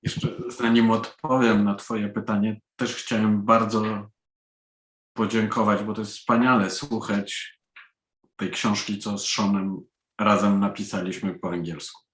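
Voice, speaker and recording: A man's voice: on the low side, level tone, moderate pace, a bit bright. A man from Poland, an author, answering interview questions at a live event. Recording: roomy, echoing sound.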